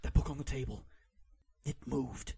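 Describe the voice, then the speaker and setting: A man's voice: mid-range voice, normal speed, creaky, mellow, breathy edge. A man playing a jittery, easily frightened bookkeeper who stammers nervously in old-time radio horror style.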